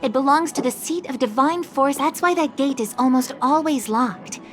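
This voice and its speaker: A woman acts a composed female official in a video game. Her fairly high voice stays courteous and measured, with a formal, helpful delivery. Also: soft background music, close to an everyday voice. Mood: informative, polite.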